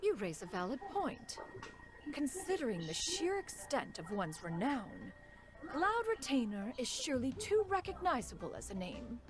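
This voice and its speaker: An actress playing a proud, formal, doting immortal crane spirit in human form, speaking in a lofty, archaic manner for a video game. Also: female voice, sits high, very animated, moderate pace. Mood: composed. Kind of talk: English video game voice acting. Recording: music behind. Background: game background music.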